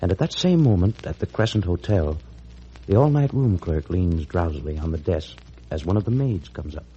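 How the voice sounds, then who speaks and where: A man narrating a vintage radio serial in a measured, dramatic manner. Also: low voice, expressive, dark tone. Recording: dull, noisy old radio recording.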